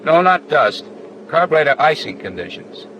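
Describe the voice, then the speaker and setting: An actor playing a patient, matter-of-fact flight instructor in a 1940s training film, measured and firm. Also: male voice, fairly high pitch, big pitch swings, bright, forceful tone, low-quality recording. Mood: explanatory. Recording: 1940s film soundtrack with faint noise.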